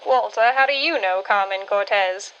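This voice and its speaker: A woman playing a brisk, secretive undercover wartime agent in a radio drama. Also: medium pitch, fairly bright tone, highs cut off, slight background noise, natural voice. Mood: tense. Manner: urgent, scolding.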